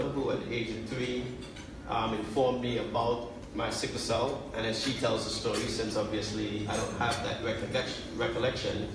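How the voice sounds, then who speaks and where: Man speaking in a booming echoey hall. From Trinidad and Tobago. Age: 40s. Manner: measured, deliberate, with frequent fillers. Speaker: university president giving a speech. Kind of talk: luncheon keynote speech. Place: large banquet hall. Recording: distant mic in a very echoey hall, noisy.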